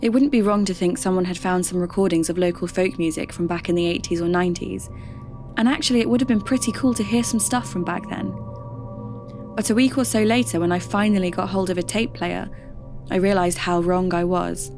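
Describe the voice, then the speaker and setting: An actress playing a curious radio DJ hosting a podcast, narrating in a measured, conversational way. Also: female voice, lowish voice, steady tone, darkish tone, soft background music, lightly acted. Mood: measured, intrigued. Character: spooky-curious female radio DJ turned podcast host. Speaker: host.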